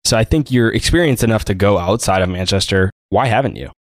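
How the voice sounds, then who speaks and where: A man's voice: on the low side, fast, no echo, studio quality. A man hosting a podcast interview, asking his guest direct questions and speaking plainly to his listeners. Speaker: podcast host.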